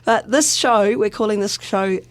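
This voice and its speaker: A woman hosting a radio podcast, her voice a bit croaky; she chats loosely, laughs often and trails off mid-thought. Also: medium pitch, normal speed, heavy background noise. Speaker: podcast host. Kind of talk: relaxed radio podcast conversation. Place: radio studio.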